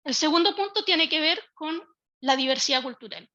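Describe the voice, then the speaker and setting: Bright, high-voiced woman lecturing. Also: dry recording, lo-fi sound. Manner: measured, explanatory, occasional restarts. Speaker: speaker from a public early-education institution. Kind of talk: online panel talk.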